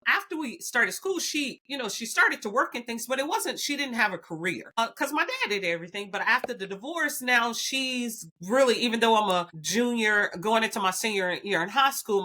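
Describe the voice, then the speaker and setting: A woman's voice: medium pitch, sharp tone. A middle-aged woman with a Southern Alabama accent, telling her life story earnestly as a podcast guest, with frequent restarts. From Huntsville, Alabama. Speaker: guest, executive. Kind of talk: candid personal storytelling.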